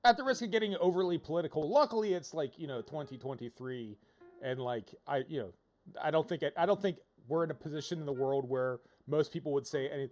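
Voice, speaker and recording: A man giving casual, rambling game-stream commentary in an animated, digressive way, repeating himself for emphasis. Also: a little high, quick pace. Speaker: livestreamer playing a visual novel. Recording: game music behind the voice. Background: video game music.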